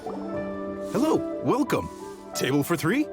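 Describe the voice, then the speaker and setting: A man's voice: a little high, wildly swooping pitch, slow, loud music behind the voice, exaggerated voice. A man voicing a proud, welcoming restaurant chef in a video game, hearty and emphatic. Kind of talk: video game character acting.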